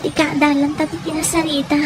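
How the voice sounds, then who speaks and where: A woman's voice: sits high, flat delivery, quick pace, background noise, close to an everyday voice. A woman acting a distressed, complaining young woman in an audio drama, whiny and given to exclaiming. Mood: wary. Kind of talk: Ilocano radio-style audio drama acting.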